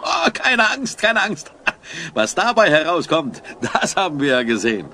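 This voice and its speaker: Man playing an excitable, swooping small-town newspaper columnist. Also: a little high, unhurried, a little hiss or hum, exaggerated voice. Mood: eager, reassuring. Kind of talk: German audio drama acting.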